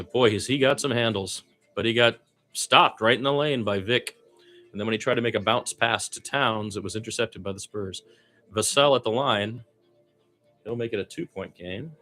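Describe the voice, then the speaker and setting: A man's voice: lowish voice, moderate pace, pauses often, bright, forceful tone, faint music behind. A man doing amateur radio-style basketball play-by-play, conversational, with his own opinions mixed in.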